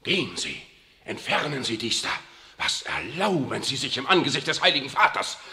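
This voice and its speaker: An actor playing a servile cardinal in a 1960s radio drama, fawning toward the pope and scolding a priest. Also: male voice, mid-range voice, big pitch swings, normal speed, sharp tone. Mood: indignant. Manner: fawning, then sharply scolding.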